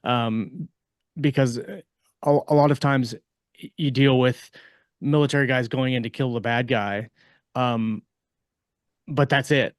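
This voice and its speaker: A man's voice: mid-range voice, fairly even intonation, unhurried, pauses often. A man with a mid-range voice talks casually as a podcast co-host. He explains in a rambling way, circling back to rephrase. The sound is dry, with no room echo. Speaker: podcast co-host and fiction author.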